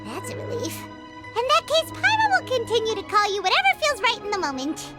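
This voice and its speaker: Casting: very high, squeaky, bouncy fairy sidekick, chirpy and nosy, with loud game music behind. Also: female voice, big pitch swings, normal speed. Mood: excited. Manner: chirpy, blurts out questions, speaks of herself by name. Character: tiny floating female fairy companion, excitable. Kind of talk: video game voice acting.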